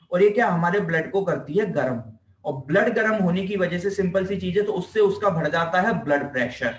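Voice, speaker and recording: An Indian-accented man with a high voice teaches in an informal, explanatory way. He stresses points by repeating them and throws out rhetorical questions. The room echoes around him and the microphone sounds some way off. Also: recorded from a distance. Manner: emphatic, repeats key phrases, asks rhetorical questions; mixes in English technical terms. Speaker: physiotherapy lecturer.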